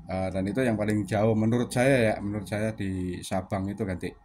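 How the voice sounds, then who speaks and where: Brief: lower-voiced man from Surabaya, relaxed ham radio chatter, faint hiss behind. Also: a little fast. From Surabaya, Indonesia. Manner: chatty, many fillers, ends turns with "ganti". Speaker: ham radio operator and channel host. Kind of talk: casual radio chat.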